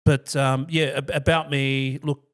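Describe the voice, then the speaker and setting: An Australian man, a financial planner guest, giving relaxed, down-to-earth interview answers with frequent restarts. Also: mid-range voice, unhurried, clean tone.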